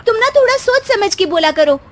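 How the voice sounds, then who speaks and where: A woman's voice: very high voice, animated, fairly quick, slight background noise, voice pushed up. A woman storyteller voicing an overworked, aggrieved wife who answers back with exasperation in a domestic story. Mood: hurt, pleading. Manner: sarcastic, aggrieved retorts.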